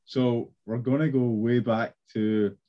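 A man's voice: medium pitch, steady tone, takes it slowly, muffled recording. A man with a Glaswegian Scottish accent, casually hosting an interview. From Scotland. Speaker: host.

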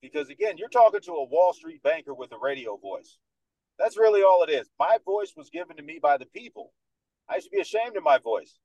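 A man in his thirties with a Dominican American accent, speaking as a podcast guest in a confident, animated way. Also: a little high, a little fast, dry recording, low-quality recording. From United States, New York. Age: 30s. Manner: confident, animated storyteller who acts out other people's lines. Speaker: guest, sports radio host. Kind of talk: casual podcast interview.

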